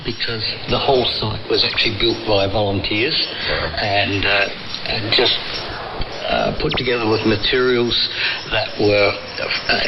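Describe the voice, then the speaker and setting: Heavy shortwave noise surrounds a muffled, low-pitched voice. It belongs to an Australian man in his sixties being interviewed on the radio, who speaks haltingly and pauses often as he explains things. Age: 60s. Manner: halting, hesitant delivery with frequent pauses. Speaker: interviewee, volunteer frequency coordinator.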